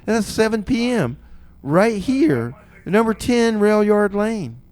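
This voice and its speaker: A man's voice: sits high, big pitch swings, slow, darkish tone. A man hosting a community radio show, announcing casually and rambling, often repeating his phrases.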